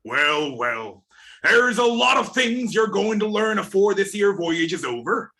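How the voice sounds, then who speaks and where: A wily old sea-cook pirate in a radio play. The voice is bright and forceful, swooping widely in pitch. He draws out his folksy sailor talk at an unhurried pace. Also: sing-song, full character voice. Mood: folksy, coaxing. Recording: remote video-call reading.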